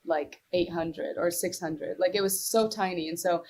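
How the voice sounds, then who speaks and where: A woman's voice: fairly low pitch, normal speed, a little mellow. A young woman from Morocco, talking loosely as an interview guest. She fills her answers with "like" and restarts, in an easy, chatty way. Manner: chatty, many "like" fillers and restarts. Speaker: singer-songwriter, guest.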